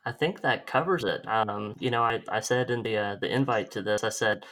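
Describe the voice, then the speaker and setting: A man hosts a casual podcast interview. He is relaxed and easygoing, laughing often as he talks. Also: on the low side, brisk. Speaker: podcast host.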